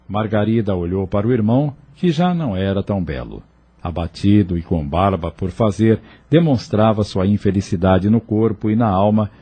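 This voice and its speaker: A man reading literary narration for an audio novel in a solemn, measured way, with a husky voice. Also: deep voice, warm tone. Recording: music behind the voice.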